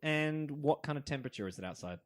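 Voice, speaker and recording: A man's voice: mid-range voice, lively intonation, fast, no echo. A man co-hosting a podcast, cracking jokes in casual chat. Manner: wisecracking, jokey asides. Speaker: podcast co-host.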